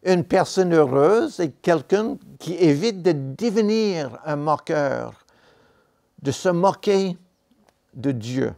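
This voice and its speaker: A man preaching a sermon in a church, a non-native speaker of the language, talking slowly and deliberately with pauses and self-corrections. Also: a little high, lively intonation, vocal fry, a little mellow. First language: not French.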